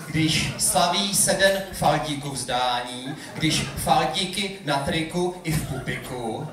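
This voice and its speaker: A man's voice: on the high side, fairly bright tone. A young man performing slam poetry live on a club stage, reciting in a rhythmic, theatrical way. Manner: rhythmic, wordplay-driven, theatrical delivery. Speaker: slam poet competing on stage. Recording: live club hall echo, audience noise.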